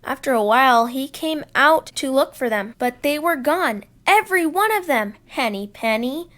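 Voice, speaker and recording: A woman storyteller reading aloud to children in an expressive narrating style. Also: sits high, moderate pace.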